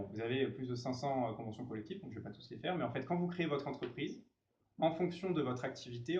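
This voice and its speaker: A man's voice: mid-range voice, a little hiss or hum. Man, labor lawyer, didactic, example after example, roomy echo, muffled sound. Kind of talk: explanatory panel talk. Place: conference room. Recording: echoey room, dull mic.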